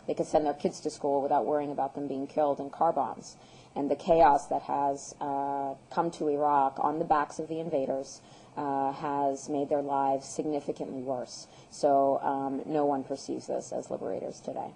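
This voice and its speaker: A woman in her thirties from the United States, answering interview questions in an earnest, measured way with frequent ums and uhs. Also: a bass voice, level tone, mellow, a little hiss or hum, rough recording. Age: 30s. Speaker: guest, peace activist.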